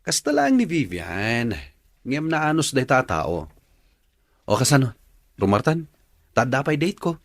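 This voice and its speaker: A man's voice: mid-range voice, huge pitch swings, measured pace, pauses often, no room sound. A man playing an earnest, caring young office worker and suitor in an Ilocano radio drama, speaking expressively. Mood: teasing, casual.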